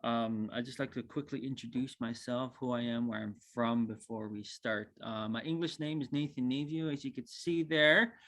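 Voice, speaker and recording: Humble, easygoing man in his twenties, presenting on a video call; mid-pitched, unhurried, full of fillers. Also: little pitch movement, a bit bright. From northern Saskatchewan, Canada. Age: 20s. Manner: easygoing and humble, with frequent ums and uhs. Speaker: cultural coordinator giving a teaching talk. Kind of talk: casual spoken presentation.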